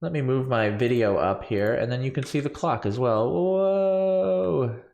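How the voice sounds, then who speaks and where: A man's voice: mid-range voice, lively intonation, measured pace, gravelly, a little mellow, echoey room. A man in his thirties, a bit stuffy from allergies, casually walking through his coding project on a livestream with lots of ums and self-corrections. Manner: rambling, filler-heavy, self-correcting. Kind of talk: casual screen-share coding walkthrough. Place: small home room with purple lights. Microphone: large studio condenser mic on boom arm.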